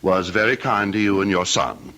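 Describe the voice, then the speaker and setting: Deep, menacing scheming heir. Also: male voice, pushed and bright. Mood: probing, sly. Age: 30s. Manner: menacing, mocking, theatrically deliberate. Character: cold, scheming, blackmailing heir in his late thirties. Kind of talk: old-time radio drama acting.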